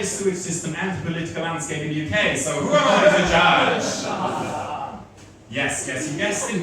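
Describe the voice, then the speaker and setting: A man from Switzerland with a slight German accent performing a stand-up comedy set, deadpan with bursts of exaggerated delivery. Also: a little high, far from the mic. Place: comedy club stage before a laughing audience. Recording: roomy club audio with audience noise.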